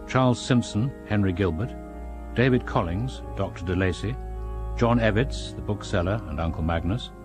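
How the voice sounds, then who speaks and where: A British man makes a scripted radio announcement. His voice is very low. Music plays clearly underneath him.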